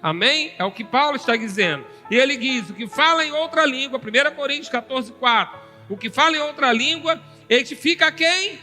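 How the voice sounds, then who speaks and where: Bright high-voiced male preacher. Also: lively intonation, brisk, long reverb tail, faint music behind. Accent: Brazilian Portuguese. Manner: teaching, calling for "amém" from the congregation. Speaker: pastor teaching a seminar. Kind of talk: sermon.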